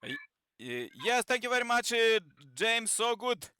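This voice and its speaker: A man speaking non-native English, hosting an informal online meeting with halting, broken phrasing. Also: very high voice, very animated, pushed and bright. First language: not English. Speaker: presenter and host of an online peace training. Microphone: video call microphone.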